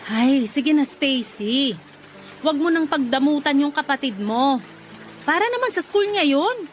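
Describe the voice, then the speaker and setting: A woman playing a demanding, wheedling middle-aged mother in a radio drama, with a nagging, expressive delivery. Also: high-pitched, unhurried, slight background noise. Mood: coaxing.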